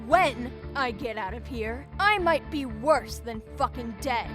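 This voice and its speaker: A woman's voice: fairly high pitch, animated, unhurried, over music. Woman playing a foul-mouthed sneering captive. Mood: scornful. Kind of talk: fan audio drama acting.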